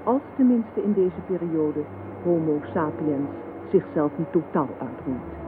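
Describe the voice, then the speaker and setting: Ernstige vertelster met lage stem. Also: female voice, low voice, expressive, a little slow, over loud music. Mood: grave, factual. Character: grave female narrator of a war satire. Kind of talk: scripted radio drama narration. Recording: tinny old radio recording, faint hiss.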